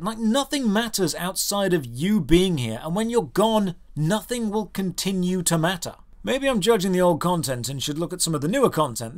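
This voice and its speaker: A man narrating a scripted video game review in a wry, exasperated way, putting rhetorical questions to the viewer. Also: high-pitched.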